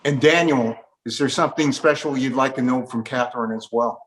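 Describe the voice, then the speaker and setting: A man's voice: medium pitch, fairly quick, a bit bright, low-quality recording. A man hosting a conversational interview and putting questions to his guests. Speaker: interviewer, host.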